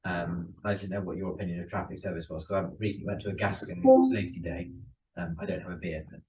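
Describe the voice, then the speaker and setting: A man with a lowish voice talks quickly and casually, a little hesitant, as a class attendee. He is some way from the microphone in an echoey room. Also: fairly even intonation, mellow, muffled recording. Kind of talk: casual, hesitant question from the floor. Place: indoor ground school classroom. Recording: distant, echoey, faintly noisy room recording.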